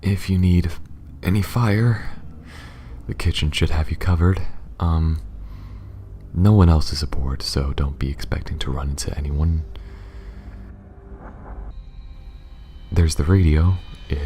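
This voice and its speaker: Very deep, mellow alien smuggler, voiced by a man. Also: fairly even intonation, normal speed, a little hiss or hum. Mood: casual, welcoming. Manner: low-key, hesitant, speaking directly to one listener. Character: gruff, guarded male alien smuggler, awkwardly protective. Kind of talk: ASMR roleplay audio drama.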